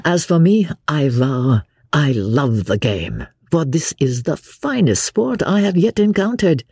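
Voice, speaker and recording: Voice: mid-range voice, sing-song, unhurried, a little mellow, slightly rough, dry recording, clean studio sound, full character voice. An audiobook reader voicing a jovial young English lord, a carefree sporting adventurer with a hearty manner.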